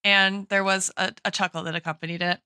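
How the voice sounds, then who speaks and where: A woman's voice: medium pitch, level tone, a little fast, bright, forceful tone, clear, resonant tone, dry recording, clean studio sound. A woman hosting a podcast, chatting casually about ideas in an informal, wry and sweary way. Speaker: podcast host. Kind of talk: casual intellectual podcast chat.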